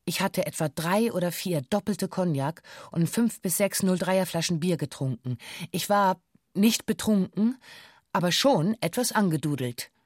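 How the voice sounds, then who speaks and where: An actress playing a woman taken hostage, giving a formal, measured, factual witness account in a documentary radio play. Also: lowish voice, lively intonation, darkish tone, no room sound, clean studio sound. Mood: sober, frank.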